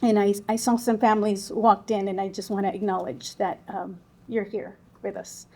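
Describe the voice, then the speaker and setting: A woman in her forties from the Texas-Mexico border, a native Spanish speaker, giving a quick, earnest panel talk with small halts. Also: medium pitch, level tone, mellow. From Texas-Mexico border, Southwest Texas. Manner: quick, earnest, slightly halting speech. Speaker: panelist, volunteer group lead. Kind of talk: conference panel presentation.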